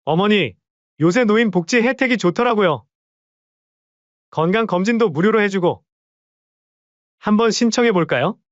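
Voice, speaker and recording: A man's voice: high-pitched, lively intonation, moderate pace, pauses often, no echo, lo-fi sound. A man voicing an awkward younger son who sweet-talks his mother with overly polite words. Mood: ingratiating.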